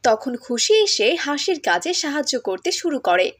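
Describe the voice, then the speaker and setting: High-pitched woman narrating a story. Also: big pitch swings, measured pace. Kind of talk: scripted cartoon story narration.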